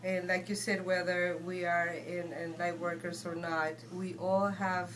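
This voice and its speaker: Lowish-voiced Guatemalan woman, earnest and halting. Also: level tone, fairly bright tone. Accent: Guatemalan Spanish. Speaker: shaman and healer, guest. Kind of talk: informal spiritual talk. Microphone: phone handed over.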